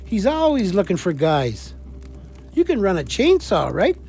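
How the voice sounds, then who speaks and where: Encouraging pal in a radio play, high lilting voice, mellow, faint music behind. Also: male voice, huge pitch swings, a little slow, vocal fry, full character voice. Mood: reassuring. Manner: reassuring, colloquial. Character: encouraging, supportive male friend. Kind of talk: audio play acting.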